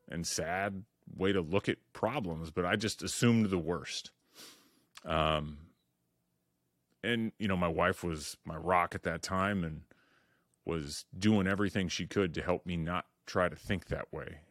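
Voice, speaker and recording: A middle-aged man with a deep voice hosting his own podcast, talking candidly and earnestly with many restarts and "you know"s. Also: unhurried, a bit bright, no room sound, clean studio sound. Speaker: podcast host. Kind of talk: reflective solo podcast monologue.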